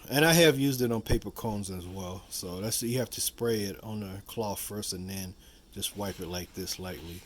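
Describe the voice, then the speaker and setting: A man with a fairly low voice hosts a casual how-to video. He talks through a hands-on demonstration in a loose, rambling way, often circling back to repeat his points and dropping in little asides. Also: normal speed, slight background noise. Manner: rambling, repetitive, with frequent "you know" asides. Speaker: video host demonstrating a product.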